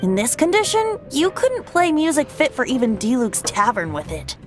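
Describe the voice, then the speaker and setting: High-pitched playful boyish bard. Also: female voice, huge pitch swings, normal speed, music in the background. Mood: thoughtful. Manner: playful, theatrical. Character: playful, breezy young male bard, boyish and theatrical. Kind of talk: video game character acting.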